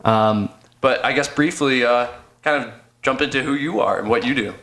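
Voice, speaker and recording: A man's voice: medium pitch, moderate pace, a bit bright. A man hosting a podcast interview, asking long, rambling questions that stop and restart. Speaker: podcast host. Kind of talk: casual interview chat.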